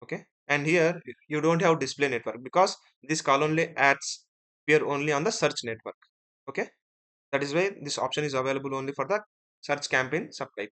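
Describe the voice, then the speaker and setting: A man teaching as an instructor in a screen-share software tutorial, explaining step by step and often checking in with short tag words. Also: on the high side, a little fast. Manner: step-by-step, often ending phrases with "right?" and "okay".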